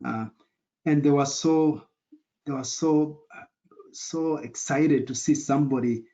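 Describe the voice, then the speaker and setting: Middle-aged man, Kenyan accent, mellow and unhurried, earnest interview guest, dull lo-fi audio. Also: fairly high pitch. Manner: earnest, measured, pauses to search for words. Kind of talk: answering interview questions.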